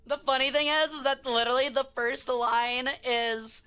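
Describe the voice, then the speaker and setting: Casting: hoarse woman streamer, high bright voice, crisp, faint music under her. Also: steady tone, moderate pace. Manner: chatty, reading chat aloud. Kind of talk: casual stream chat.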